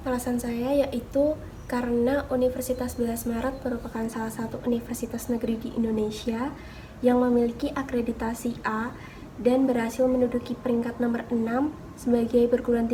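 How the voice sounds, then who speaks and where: A woman pharmacy student giving a prepared answer in a flat, even way. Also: a little high, fairly quick, slight background noise, rough recording.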